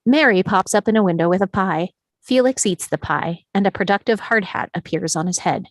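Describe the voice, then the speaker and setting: A woman's voice: mid-range voice, brisk, no echo. A woman narrating scripted stage directions at a screenplay table read.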